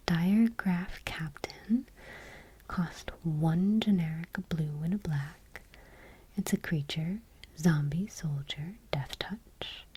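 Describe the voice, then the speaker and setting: A woman doing gentle ASMR, reading aloud in a whispery, breathy, deliberate voice close to the microphone. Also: deep voice, no echo, low-quality recording. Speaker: ASMR video creator. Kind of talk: soft-spoken ASMR, reading trading cards aloud. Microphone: close microphone, dry.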